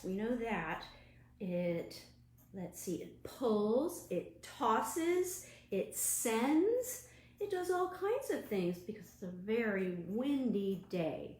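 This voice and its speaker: A woman children's librarian reading aloud to young children in a deliberate, expressive, sing-song way. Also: medium pitch. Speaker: children's librarian hosting storytime. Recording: roomy, echoing room sound.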